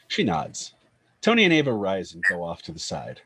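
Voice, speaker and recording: A man reading screenplay stage directions as narrator in a scripted style, with a husky voice. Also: sits low, wildly swooping pitch, moderate pace, bright, forceful tone.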